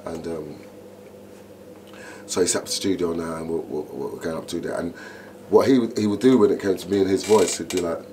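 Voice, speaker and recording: A middle-aged man, an MC telling stories in an interview, animated and full of "you know". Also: sits low, a little fast. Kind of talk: interview, casual storytelling. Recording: noticeable background noise.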